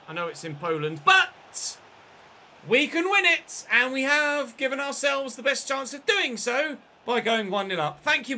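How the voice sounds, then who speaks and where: A man commentating his football video game in a chatty way, breaking into excited shouts at big moments. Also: sits high, slight background noise. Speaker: gaming channel host.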